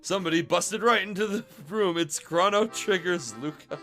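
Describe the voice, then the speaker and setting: A man's voice: high-pitched, moderate pace, bright, forceful tone. A man giving a comic dramatic read-aloud on a livestream, playful and prone to breaking into laughter. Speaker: streamer reading fan fiction. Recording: background music behind the voice. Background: music.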